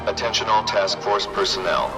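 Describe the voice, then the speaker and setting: An actor playing an official task force commander in a video game, giving clipped, authoritative announcements. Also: male voice, lowish voice, level tone, moderate pace, close to an everyday voice. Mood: stern, urgent. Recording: distant, under loud music.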